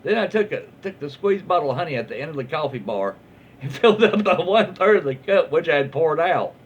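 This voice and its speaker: A man with a Southern US accent and a husky voice reading online posts aloud in a casual, comedic, easily amused way. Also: on the high side, expressive, brisk.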